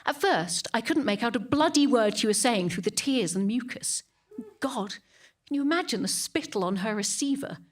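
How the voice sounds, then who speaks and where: Actress as an indignant churchgoing British woman, swooping pitch. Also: animated. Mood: wistful, fussy. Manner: indignant, halting, repeats phrases for emphasis. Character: devout, defensive, vindictive churchgoing woman, hiding her guilt. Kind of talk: dramatic monologue to a police officer.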